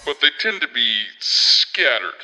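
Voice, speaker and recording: Courteous researcher, formal, animated pitch, piercingly crisp tone, reverberant call, audio drama. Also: male voice, unhurried, slight background noise, exaggerated voice. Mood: formal, measured.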